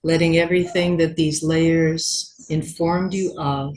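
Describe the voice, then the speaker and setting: A woman yoga teacher leading a guided meditation in a gentle, unhurried manner with long pauses. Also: deep voice, level tone.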